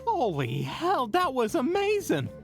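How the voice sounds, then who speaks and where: A woman plays an excitable figure in a fantasy audio drama. The delivery is dramatic and exclamatory, leaping all over in pitch over soft music. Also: unhurried, full character voice. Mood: excited alarm. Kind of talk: fantasy audio roleplay acting.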